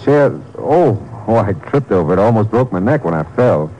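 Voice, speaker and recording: A man's voice: fairly low pitch, very animated, normal speed, mellow, dull audio. An actor playing a shifty, evasive big man stalling the sheriff in an old radio drama. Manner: evasive, smooth-talking, fake-casual. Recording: old radio drama recording with faint noise.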